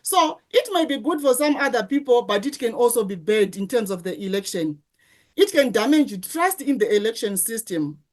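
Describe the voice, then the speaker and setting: Zimbabwean lady lecturing, kinda high voice, asks "what?" a lot, restarts her sentences. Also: sing-song. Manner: asks rhetorical questions, halting restarts. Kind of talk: conference presentation.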